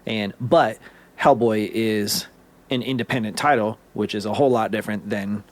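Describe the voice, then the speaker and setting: A man co-hosting a podcast, chatting in an animated, rambling way. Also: on the low side, normal speed. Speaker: podcast co-host. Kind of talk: casual podcast chat.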